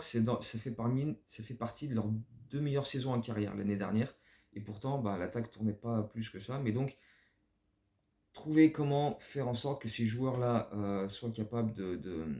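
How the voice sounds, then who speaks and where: A man talks through his channel's basketball commentary in a relaxed, rambling way, often stumbling over repeated words. His voice sits low and sounds warm. The room around him carries a noticeable echo. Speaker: basketball fan channel host. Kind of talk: casual spoken commentary.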